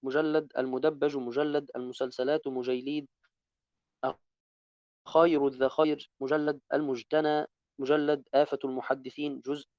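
A man reading a classical Arabic text aloud to a teacher in a steady, even recitation, correcting his own slips as he goes. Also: mid-range voice, moderate pace, no room sound, muffled recording.

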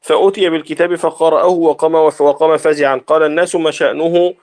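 A man reads a classical scholarly text aloud in a slightly high-pitched voice. His delivery is formal, like a student reciting from a book during a religious lesson. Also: steady tone, fast, darkish tone.

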